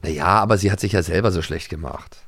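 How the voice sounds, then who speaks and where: A man co-hosting a podcast about radio plays, chatting casually, halting and repeating his words. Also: sits low, fairly bright tone, husky. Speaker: podcast co-host. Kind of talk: casual chat about audio dramas. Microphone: studio microphone.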